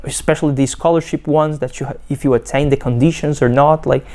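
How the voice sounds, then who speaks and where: A young man from Brazil with a Brazilian Portuguese accent, a PhD student giving a halting testimonial full of fillers and restarts. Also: on the high side, fairly even intonation, darkish tone. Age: young adult. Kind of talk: testimonial interview.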